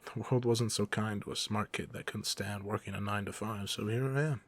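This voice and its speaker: Low, creaky playful young villain, acted by a man. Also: fairly even intonation, a little fast. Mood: wry, self-assured. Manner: teasing, casual, chuckling banter. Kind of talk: ASMR roleplay, one side of a casual conversation. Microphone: close microphone.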